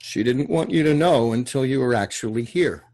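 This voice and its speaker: A man's voice: medium pitch, normal speed, a little mellow, lightly acted. A man reading the part of an easygoing, hospitable small-town man in a TV drama, casual and folksy. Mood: subdued. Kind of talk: table read of TV drama script.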